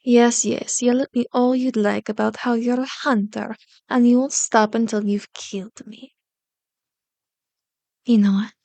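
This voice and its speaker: Slow, condescending vampire seductress, acted by a woman. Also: a little high, fairly even intonation, vocal fry, mellow, no echo. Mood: amused disdain. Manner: slow, commanding, mock-sweet taunting with whispered words. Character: powerful female vampire, seductive, condescending, manipulative captor. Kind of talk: ASMR roleplay.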